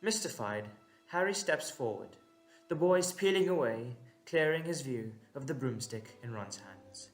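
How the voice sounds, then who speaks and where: Male narrator, mid-pitched, unhurried, dramatic scripted storytelling, deliberate pauses, soft music behind. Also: expressive.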